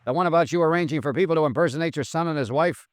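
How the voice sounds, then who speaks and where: A man's voice: fairly high pitch, rapid-fire, dry recording, clean studio sound, close to an everyday voice. Hard-boiled, sardonic radio detective played by a man. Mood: cool, challenging. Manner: clipped, sardonic, tough. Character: wry, hard-boiled male insurance investigator. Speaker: lead actor and narrator. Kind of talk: old-time radio noir drama, first-person narration.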